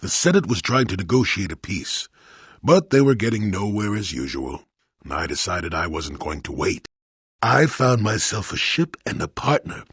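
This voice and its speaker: A man playing a crotchety, wry old hermit in a video game, with a gravelly, husky voice and a sardonic storyteller's manner. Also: on the low side, a bit bright, dry recording, studio quality, exaggerated voice. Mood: reminiscing. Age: older. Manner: cantankerous, sardonic, rambling storyteller. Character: crotchety old male hermit, former Jedi, wry and weary. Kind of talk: video game character acting.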